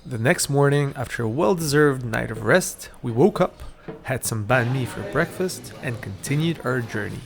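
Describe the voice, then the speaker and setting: A man narrates a travel vlog in a measured, scripted voice-over. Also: medium pitch, lively intonation, gravelly, faint music behind.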